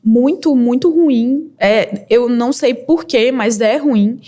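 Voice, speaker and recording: A young woman from Brazil, a model and entrepreneur, telling her story as a podcast guest in a candid, lively way with quick bursts and laughs. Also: a little high. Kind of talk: casual interview storytelling.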